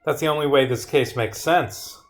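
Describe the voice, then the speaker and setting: A man's voice: mid-range voice, moderate pace. A man livestreaming as a game developer, reading his own script aloud theatrically and dropping into muttered asides. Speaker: streamer and visual novel developer.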